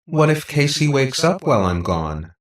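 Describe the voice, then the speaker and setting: A man's voice: medium pitch, sing-song, normal speed, reverberant, recorded from a distance, voice pushed up, close to an everyday voice. A man voicing a robot girl in an audiobook, sweet and affectionate on the surface, clingy and manipulative, with precise, insistent phrasing. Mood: curious. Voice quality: synthetic, affectionate tone.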